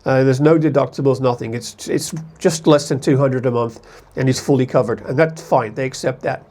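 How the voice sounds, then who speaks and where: A man's voice: medium pitch, moderate pace, warm tone. A man from the United States talking casually to camera as an expat vlogger, in a rambling, conversational way that often corrects itself.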